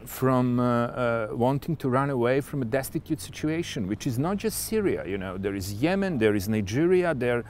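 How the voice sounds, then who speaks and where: A man giving a reflective commentary, pausing often to search for his words. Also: mid-range voice, lively intonation, darkish tone, slight background noise.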